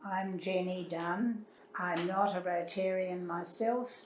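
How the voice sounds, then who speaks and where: A woman reflecting in an interview in a measured, considered manner. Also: fairly low pitch, steady tone. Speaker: interviewee, club associate. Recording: roomy echo, faint ambient noise.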